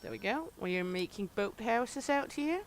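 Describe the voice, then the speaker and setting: A woman's voice: on the high side, expressive, normal speed, heavy background noise. A middle-aged Danish woman with a thick Danish accent, chatting candidly with her livestream chat while playing a game. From Denmark. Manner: chatty, candid, reads and answers chat aloud. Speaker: streamer.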